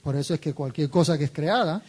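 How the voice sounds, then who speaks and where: Mellow-voiced male church interpreter. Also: on the high side, fast talker, slightly rough. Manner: steady, follows the preacher phrase by phrase. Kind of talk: live sermon interpretation into Spanish.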